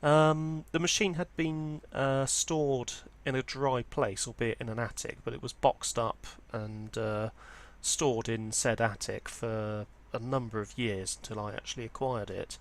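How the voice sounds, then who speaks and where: A man with a medium-pitched voice presents a hobby video in an informal, unscripted style. He explains details step by step, with a hesitant delivery that often hedges as it goes. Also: fairly even intonation, unhurried, hardly any pauses. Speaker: presenter of a vintage audio hobby channel. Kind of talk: informal product walkthrough, unscripted.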